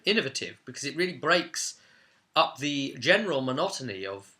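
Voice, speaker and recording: A man's voice: medium pitch, bright, forceful tone. A man presenting an informal explainer on game rules in an enthusiastic, conversational manner. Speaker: presenter of a tabletop game guide.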